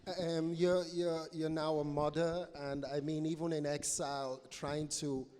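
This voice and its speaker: Halting male interviewer in an echoey hall. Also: on the high side, level tone, darkish tone, a little hiss or hum. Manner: halting, rephrases questions as he goes. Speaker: interviewer, event moderator. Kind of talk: live on-stage interview, conversational questions. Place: live festival event hall. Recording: echoey hall with long reverb.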